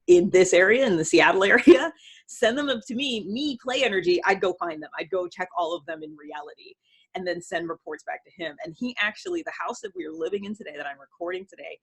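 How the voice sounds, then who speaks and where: A woman's voice: fairly low pitch, big pitch swings, brisk, gravelly, slightly rough, rough recording. An American woman, around her thirties, talking casually and informally as she explains things in a podcast-style monologue. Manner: informal, conversational, peppered with personal examples. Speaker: podcast host.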